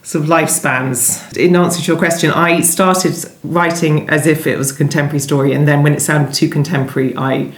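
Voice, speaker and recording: A woman novelist being interviewed, talking conversationally in a thoughtful, hesitant and halting way. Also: sits low, steady tone. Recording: echoey room sound.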